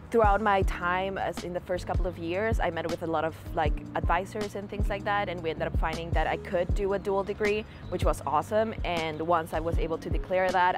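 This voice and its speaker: A young woman from Peru talks about her studies in an earnest, easygoing way, leaning on "um" and "like" as she thinks. Her voice sits on the lower side for a woman. Music plays clearly behind her. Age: 20s. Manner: earnest, frequent fillers like "um" and "like". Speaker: student interviewee. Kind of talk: casual interview answers.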